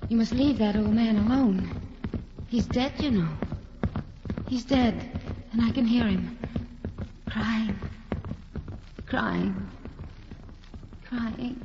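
A woman's voice: a little high, slow pace, long pauses, noisy background. An actress playing a frightened young woman sinking into fever and delirium, in a dull lo-fi recording. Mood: delirious. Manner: fragile, dreamy, frightened. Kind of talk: radio drama acting.

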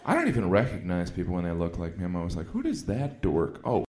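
Stand-up man, self-mocking and dry, lively up-and-down delivery, booming club echo. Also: fast, very noisy. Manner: self-deprecating, dry punchlines. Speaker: stand-up comedian. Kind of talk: stand-up set on stage. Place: comedy club stage. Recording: echoey club with loud crowd laughter. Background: audience laughing.

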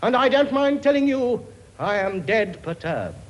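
A man's voice: very high-pitched, sing-song, measured pace, lo-fi sound, full character voice. A blustering, wisecracking king. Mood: lecturing. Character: bossy joking middle-aged king with many children. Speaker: comic actor. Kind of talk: live comedy sketch.

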